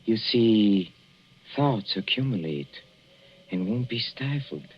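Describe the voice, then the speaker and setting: Slow, cloying husband, old radio. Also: male voice, lowish voice, expressive. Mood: coaxing, ominous. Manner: slow, coaxing, oily endearments with small chuckles. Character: fussy, cloying older husband with veiled menace. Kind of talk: 1940s radio drama acting. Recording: dull, noisy old radio recording.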